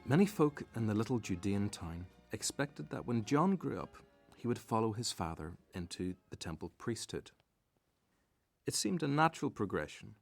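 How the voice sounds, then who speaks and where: A man's voice: mid-range voice, vocal fry, dry recording. A man narrating a scripted radio drama as a storyteller.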